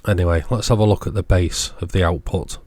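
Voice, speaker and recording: A man presenting a hands-on electronics repair demonstration, measured and matter-of-fact, explaining things in short phrases. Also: deep voice, darkish tone, no room sound.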